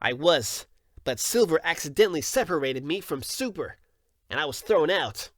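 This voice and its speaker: A lively young hero hedgehog voiced by a man for a fan audio drama. He is cocky and breezy, with animated pitch and a dry, close sound. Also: normal speed, no echo, lightly acted. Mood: casual, matter-of-fact.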